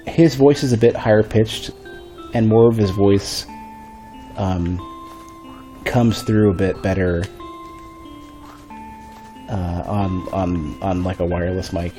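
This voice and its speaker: Man, deep bass-heavy voice, mellow tone, a little stuffy, rambling game commentary, faint music behind. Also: steady tone, slow pace, pauses often, low-quality recording. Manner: rambling, thinking aloud with restarts. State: a bit stuffy. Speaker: streamer. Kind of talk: casual gameplay commentary. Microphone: desk microphone.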